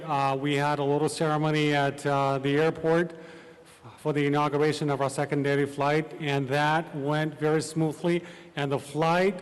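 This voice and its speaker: Smooth-voiced man giving an address. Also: a little high, big-room sound, low-quality recording. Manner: hesitant, many ums. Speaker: airline representative. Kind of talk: formal speech.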